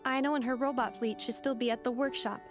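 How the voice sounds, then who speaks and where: Actress as a formal, logical android helper; high voice, terse machine-style phrasing, soft music under. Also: female voice, steady tone, fast talker, natural voice. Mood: steady. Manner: clipped machine-like reports, formal and literal. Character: calm android helper woman, logical and precise. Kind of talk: video game dialogue.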